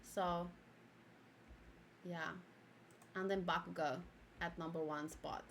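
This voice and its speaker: A young woman whose first language is Afrikaans, chatting casually while reviewing an anime and reacting to her own old video, giggling and switching between loud outbursts and quiet muttering. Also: on the low side, steady tone, takes it slowly, slight background noise.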